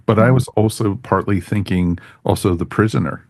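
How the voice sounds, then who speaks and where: A man with a very low, gravelly voice hosts a casual review podcast. He speaks conversationally, moving the discussion along. The sound is dry and close, with no room around it. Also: normal speed, a little mellow, no echo. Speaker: podcast host.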